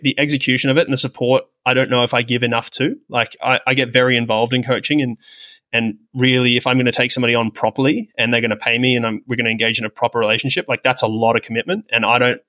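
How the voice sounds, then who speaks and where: A man's voice: mid-range voice, steady tone, fast, a bit bright. A man speaking conversationally as an interview guest, explaining things in a rambling, reflective, self-deprecating way. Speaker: podcast guest, sports technology professional with medical and coaching background.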